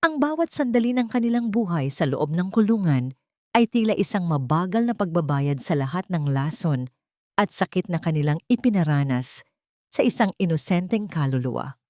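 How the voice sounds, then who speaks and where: Low-voiced Filipino woman narrating, dry sound. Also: big pitch swings, normal speed, dark tone, no echo. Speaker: storyteller, narrator. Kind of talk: scripted dramatic story narration.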